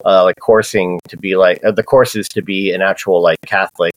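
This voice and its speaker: Thirties man, lowish voice, rambling casual storyteller, dry mic. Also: fairly even intonation, normal speed, dry recording, poor audio. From Southern California. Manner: loose, many fillers and restarts. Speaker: podcast guest.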